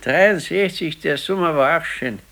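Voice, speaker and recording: An actor playing an ailing, brooding old retired husband in a radio play, with a Bavarian accent and a resigned, halting, plain-spoken manner. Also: male voice, fairly high pitch, a bit bright. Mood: animated recalling. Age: older. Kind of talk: naturalistic 1970s radio drama acting.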